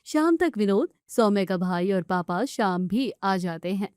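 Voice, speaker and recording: A woman's voice: medium pitch, lively intonation, unhurried, darkish tone, smooth, dry recording, studio quality. A woman storyteller reading scripted narration of a family tale.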